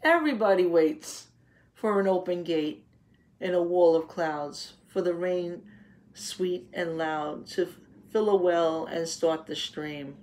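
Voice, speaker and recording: Slow-paced woman reading aloud to children. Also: on the low side, darkish tone, slight background noise. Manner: didactic, asking simple rhetorical questions. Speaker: read-aloud teacher. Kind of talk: picture-book read-aloud with explanations for young children.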